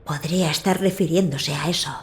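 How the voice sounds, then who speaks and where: A woman playing a gentle, resolute young noble boy in a dubbed video game, speaking softly in a measured, formal way. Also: low voice, a little hiss or hum, lightly acted. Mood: curious, thoughtful.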